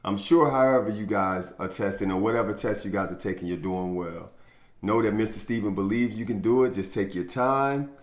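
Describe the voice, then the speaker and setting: A man hosting a children's storytime, speaking to young listeners in an encouraging, expressive way as he chats and reads aloud. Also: on the low side, fairly quick. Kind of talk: children's read-aloud and upbeat chat to young viewers.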